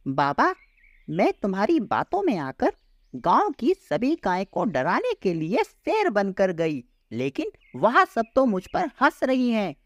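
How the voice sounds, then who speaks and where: A woman's voice: medium pitch, huge pitch swings, slow, no room sound, studio quality, full character voice. A woman voicing an anxious, gullible, easily offended female cow in a children's cartoon story, with a dramatic, complaining manner. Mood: indignant.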